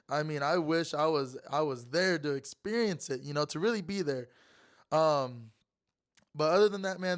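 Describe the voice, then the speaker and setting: A young man with a naturally raspy voice that sounds hoarse from being sick, talking through a sports monologue in a loose, rambling way. He stumbles over words and repeats himself often, and his voice sits a bit higher than most men's. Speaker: sports show host. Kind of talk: casual sports commentary monologue.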